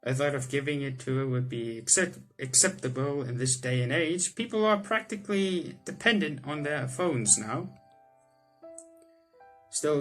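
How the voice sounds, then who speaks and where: A young man hosting a gaming video, reading the game's text aloud and chatting about it with restarts and quick laughs. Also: medium pitch, fairly bright tone, low-quality recording. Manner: chatty, self-correcting, breaks into laughs and reactions. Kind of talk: let's-play reading game text aloud with comments.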